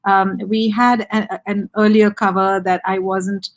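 A middle-aged woman from India, speaking reflectively as a guest author on a video call from a room with bookshelves, pausing to search for words. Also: mid-range voice, flat delivery. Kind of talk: casual video-call conversation.

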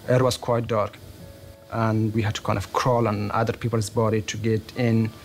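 A man in his thirties from Afghanistan recounting his journey in a documentary interview, plain and thoughtful with pauses. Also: fairly low pitch, little pitch movement, soft background music. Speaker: interviewee, refugee. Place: studio interview set, indoors.

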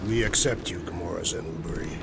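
Blunt, formal alien warrior, earnest and literal, mid-pitched. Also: male voice, fast, vocal fry, loud music behind the voice. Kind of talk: video game cutscene acting.

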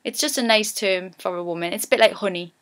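A bright-toned woman speaking with a Geordie accent. She is chatty and rambling, and often corrects herself mid-sentence. She talks casually, like someone explaining her local words to viewers. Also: mid-range voice, fast talker. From Newcastle, England. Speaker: vlogger teaching dialect. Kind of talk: casual dialect lesson.

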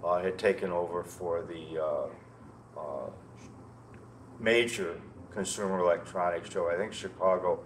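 An older American man in his sixties reminiscing conversationally as an interview guest, halting and often repeating words. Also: low-pitched, fairly even intonation. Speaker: interview guest, loudspeaker maker. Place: indoor show room, seated. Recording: noticeable background noise, room ambience.

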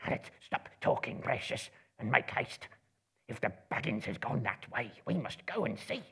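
Nasty little cave creature for a storybook, super raspy n hissy, paranoid muttering, pitch jumps all over. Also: male voice, sing-song, moderate pace, fairly bright tone, exaggerated voice. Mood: alarmed, urgent. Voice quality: raspy, hissing, creaky. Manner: muttering to himself in broken grammar, sibilant. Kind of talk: dramatic live book reading.

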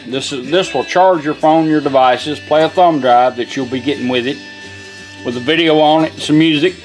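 Man, somewhat high pitch, folksy, plain-spoken, informal product demo, faint music behind. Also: normal speed, low-quality recording. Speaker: presenter, car radio restorer. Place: indoor workshop bench.

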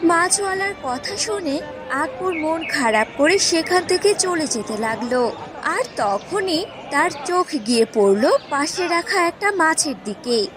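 A woman narrating a scripted cartoon fairy tale in an expressive storytelling style. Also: very high voice, unhurried, music in the background.

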